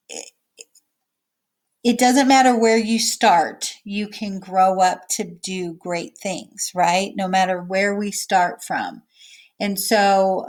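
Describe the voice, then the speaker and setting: Woman reading aloud and explaining to children. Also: medium pitch. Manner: explains simply, checking in with okay and all right. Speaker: teacher reading a picture book aloud.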